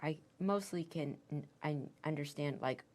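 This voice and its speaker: An American woman in her fifties with a notably low, deep voice speaks softly and reflectively in an interview. She pauses often and restarts her words, taking her time as she recalls things. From Korea, raised in the United States. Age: 50s. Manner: soft-spoken, thoughtful, with hesitant restarts. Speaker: interviewee, Korean adoptee.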